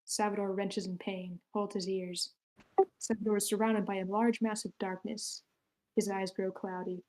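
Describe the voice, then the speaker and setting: A woman reads stage directions at a screenplay table read. Her mid-pitched voice stays fairly flat, moving matter-of-factly through short scripted sentences. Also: moderate pace, low-quality recording. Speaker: narrator reading stage directions.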